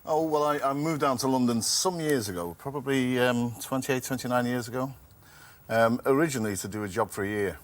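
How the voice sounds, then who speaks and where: A man in his fifties from Liverpool, a game show contestant, talking hesitantly and softly with many pauses. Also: mid-range voice. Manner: hesitant, soft-spoken, many ums. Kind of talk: casual chat. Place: TV studio.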